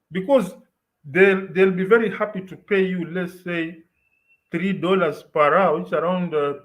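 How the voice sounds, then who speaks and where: A Kenyan man in his thirties chatting casually on a podcast, hesitating with fillers and slipping into Swahili before making a firm point. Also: on the high side. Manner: code-switches into Swahili, hesitant with fillers then emphatic. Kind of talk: casual chat.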